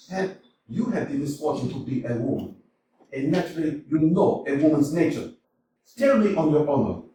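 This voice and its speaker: An amateur actor playing a blustering, scornful landowner in a staged play reading, swinging between shouting and mock-tender crooning. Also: male voice, slight background noise. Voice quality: booming, theatrical. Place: small community theater stage. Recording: echoey hall from a distance.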